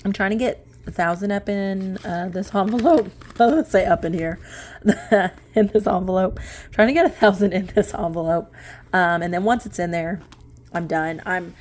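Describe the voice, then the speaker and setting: A woman's voice: lowish voice, slight background noise. A woman in her late twenties chatting casually while sorting cash into budget envelopes, rambling and correcting herself with small laughs. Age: late 20s. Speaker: budgeting vlogger.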